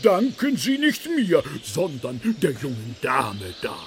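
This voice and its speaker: A man's voice: medium pitch, huge pitch swings, slow, a little hiss or hum, full character voice. An actor playing a courtly, haughty frog king in a children's radio play, speaking in pompous, formal phrases. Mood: obliging.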